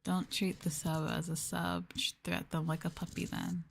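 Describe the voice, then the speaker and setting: A woman doing a live ASMR stream, talking softly and breathily to viewers in a gentle, subdued way, often dropping into a whisper close to the mic. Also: fairly low pitch, flat delivery, moderate pace, creaky, a little hiss or hum. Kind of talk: ASMR soft talking, casual chat with viewers. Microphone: close ASMR microphone.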